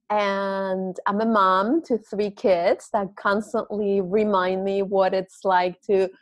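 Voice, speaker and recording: A woman's voice: medium pitch, no room sound. Woman speaking in a dry, close recording. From Argentina. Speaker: guest, yoga and meditation teacher. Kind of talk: casual interview talk.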